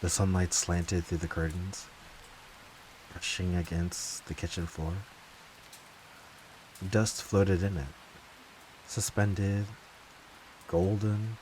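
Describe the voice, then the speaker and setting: A low, slow-spoken wounded lover, acted by a man in a late-night audio drama, brooding bitterly over betrayal through faint hiss. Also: flat delivery, a little mellow, rough recording. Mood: tender, wistful. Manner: slow, deliberate, brooding, heavy pauses. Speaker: voice actor. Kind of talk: dramatic audio monologue, ASMR-like.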